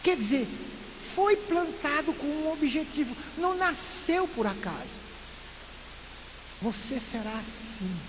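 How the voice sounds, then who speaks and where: Pastor from Recife in northeastern Brazil, high-pitched man, lively sermon with rhetorical questions, long church echo. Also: takes it slowly. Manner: lively preaching full of rhetorical questions and playful asides. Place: church sanctuary. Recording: echoey church hall with loud hiss.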